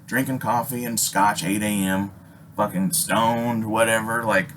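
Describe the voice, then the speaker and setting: A man's voice: on the low side, fairly even intonation, measured pace, a bit bright, a little hiss or hum. An American man telling a personal story casually, rambling and emphatic, swearing heavily with abrupt stops and repeats. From Alaska, United States.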